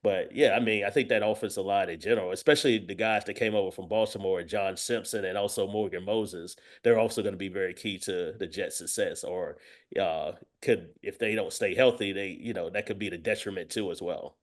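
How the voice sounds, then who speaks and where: A man with a fairly low voice talks through football analysis as a guest on a show. His delivery is casual and emphatic, and he often restarts and rewords his points as he thinks them out. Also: fairly quick. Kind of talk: casual sports talk with analysis.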